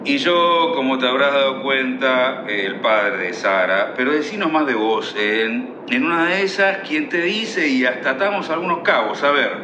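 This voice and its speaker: A man's voice: mid-range voice, animated, normal speed, hardly any pauses, noisy background, close to an everyday voice. Man acting fussy Argentine father, roomy sound. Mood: chatty. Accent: Argentine, Rioplatense. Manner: avuncular, colloquial, chiding. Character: fussy, chatty, soothing merchant father. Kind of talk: comic radio theatre acting.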